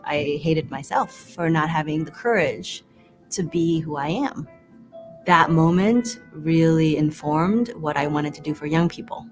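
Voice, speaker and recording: Earnest low woman over music. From Vietnam. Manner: earnest, measured storytelling. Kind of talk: personal story in a promotional video.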